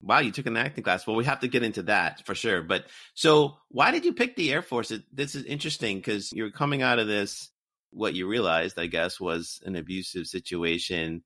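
A man hosting an interview who asks measured, attentive questions. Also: fairly low pitch, lively intonation, sharp tone, dry recording, studio quality. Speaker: podcast host.

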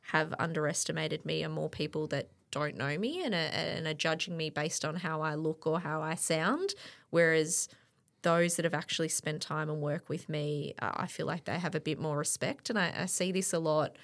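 A woman's voice: low voice, fairly even intonation, normal speed. A woman in her thirties with an Australian accent, speaking candidly and reflectively as a guest in a casual podcast interview. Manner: candid and reflective, with frequent fillers. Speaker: interview guest, sports event operations director.

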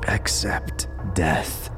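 A man voicing an old, dying, weary scribe in an audio drama, frail and breathy, speaking slowly and solemnly in archaic phrasing. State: sickly, coughing.

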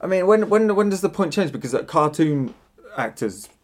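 A man's voice: a little high, animated, moderate pace. A man chatting casually about his electronics builds, rambling with many restarts and frequent laughs. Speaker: online synth builder. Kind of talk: casual podcast chat.

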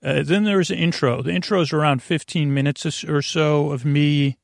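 A man hosting a bedtime podcast with a creaky, gravelly voice, meandering and halting in a goofy, self-deprecating monologue that keeps going off topic. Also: a little high, fairly even intonation, no room sound, studio quality. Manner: meandering, halting, goes off topic mid-sentence. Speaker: podcast host.

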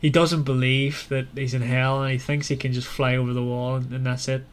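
A man's voice: mid-range voice, level tone, a little fast. A man hosting a casual book discussion in a conversational, questioning way. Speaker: podcast host.

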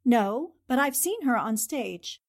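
A woman's voice: a little high, big pitch swings, takes it slowly, exaggerated voice. A woman playing a poised, tactful newspaper columnist, articulate and polite, in 1940s radio drama style. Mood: polite, reassuring.

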